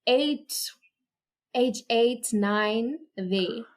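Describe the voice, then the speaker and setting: A woman's voice: fairly high pitch, extremely slow. A young woman from Zimbabwe, a podcast guest telling a personal story in casual conversation, with many restarts. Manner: animated storytelling with repeated restarts.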